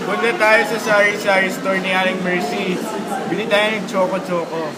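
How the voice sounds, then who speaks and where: A man's voice: sits high, little pitch movement, normal speed, lightly acted. A man playing an encouraging schoolboy friend in a scripted student radio drama. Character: encouraging schoolboy friend retelling a hero's tale. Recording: very noisy amateur recording.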